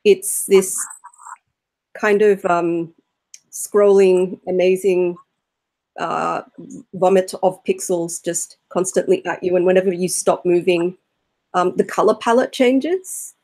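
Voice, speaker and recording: A young woman with a fairly low voice talks slowly and unhurriedly about her poetry. She pauses often and fills the gaps with small hesitations, speaking into a laptop webcam from a room at home. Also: mellow. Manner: unhurried, hesitant, many fillers. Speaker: poet, panel guest. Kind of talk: casual video-call talk about her work. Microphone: laptop webcam on a video call.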